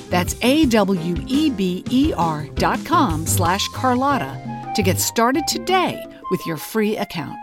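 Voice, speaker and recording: A woman podcast host with a medium-pitched voice. She talks in a casual, conversational way, sometimes with faint music. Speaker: fiction podcast creator.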